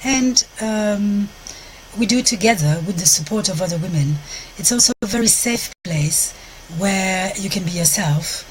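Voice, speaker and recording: A Belgian woman speaking English with a French accent, hosting a live stream outdoors in a park and pausing often to find her words. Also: mid-range voice, slight background noise, poor audio. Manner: searches for words, frequent restarts, soft gentle delivery. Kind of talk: live-stream talk to viewers.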